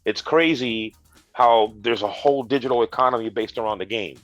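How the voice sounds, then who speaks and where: A congested man co-hosting a gaming news chat, making dry, deadpan jokes as he explains the news. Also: medium pitch, fairly quick, no room sound, muffled recording, faint music behind. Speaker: co-host, retro gaming specialist.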